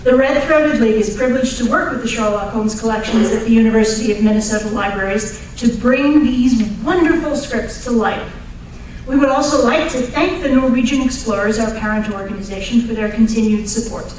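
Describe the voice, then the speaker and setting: A woman reads a prepared, formal introduction in a measured way. Her fairly high voice comes from a distance in a heavily echoing hall, with a little noise behind it. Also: a little mellow. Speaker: director of a radio drama troupe. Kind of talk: scripted introductory speech. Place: echoey event hall with live audience.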